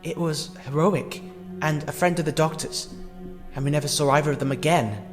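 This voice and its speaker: A man acting a compassionate, hesitant character in a fan audio drama, earnest and halting in his delivery. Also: fairly high pitch, close to an everyday voice. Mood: hesitant, tender. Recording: long reverb, music underneath.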